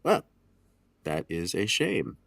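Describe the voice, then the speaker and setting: An actor playing a smooth, condescending estate lawyer in an audio drama, with precise, formal, patronizing speech. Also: male voice, low-pitched, huge pitch swings, slow, a bit bright, slight background noise, exaggerated voice. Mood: politely firm. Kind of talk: audio drama acting, period dialogue.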